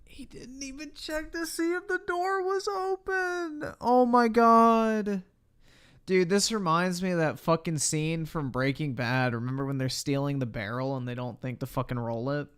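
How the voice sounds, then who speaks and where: A man doing casual live reaction commentary, excitable and profane, with slangy exclamations. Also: sits high, measured pace, clear, resonant tone. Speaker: live streamer reacting to videos. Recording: noisy live stream audio.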